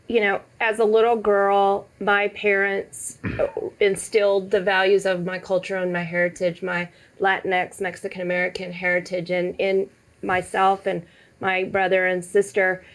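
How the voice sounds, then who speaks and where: A woman's voice: medium pitch, level tone, a little slow, poor audio. Earnest woman panelist from Texas. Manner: earnest, fillers like um and you know. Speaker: panelist, associate dean. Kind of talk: panel discussion.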